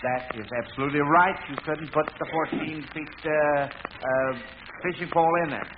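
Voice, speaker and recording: A man's voice: a little high, fairly even intonation, moderate pace. A man hosting a 1940s radio quiz show, addressing contestants in a formal announcer's manner. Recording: noisy lo-fi vintage radio broadcast.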